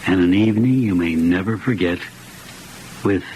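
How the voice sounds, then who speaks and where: An actor as a grave announcer introducing a horror tale on old-time radio, dramatic and portentous. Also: male voice, low voice, normal speed, warm tone, close to an everyday voice, low-quality recording. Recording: vintage radio, faint noise.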